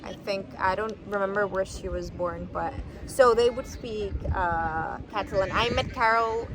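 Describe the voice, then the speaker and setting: A woman's voice: medium pitch, unhurried. Chatty Spanish-speaking woman, street noise. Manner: chatty, many fillers like "like" and "you know". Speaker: podcast host. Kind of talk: casual interview chat.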